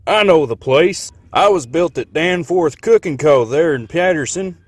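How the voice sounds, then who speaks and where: A man voicing a brash young male locomotive with an exaggerated put-on Texan accent, talking in an energetic, folksy, boastful way. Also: a little high, a little slow, voice pushed up. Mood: hearty.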